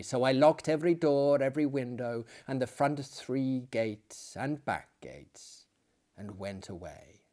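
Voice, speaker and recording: A male reader delivers a gothic tale at a very unhurried pace. His voice sits at a middling pitch. The telling is solemn and theatrical, with deliberate pauses and hushed falls at the ends of phrases. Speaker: audiobook reader and performer. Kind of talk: dramatic narration of gothic fiction.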